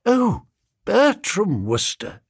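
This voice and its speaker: A man voicing a gracious, decided headmistress in an audiobook, brisk, formal and emphatic. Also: medium pitch, very animated, slightly rough, no echo, studio quality. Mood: brightly recognising. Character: decided, gracious English headmistress, a grown woman.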